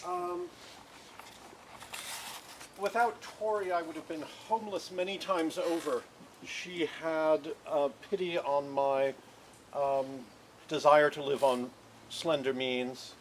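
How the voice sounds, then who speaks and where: Loud-voiced man, halting and wry. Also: fairly high pitch, slight background noise, rough recording. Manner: halting, many ums, wry. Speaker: memorial speaker. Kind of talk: informal eulogy with a poem.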